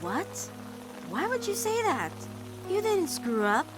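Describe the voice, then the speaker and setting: A woman's voice: extremely high pitch, wildly swooping pitch, slow pace, music in the background, full character voice. A woman voicing a caring, protective teenage girl in a cartoon fan dub, earnest and emphatic as she pleads with her girlfriend. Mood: earnest reassurance. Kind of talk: animated-series fan dub drama acting.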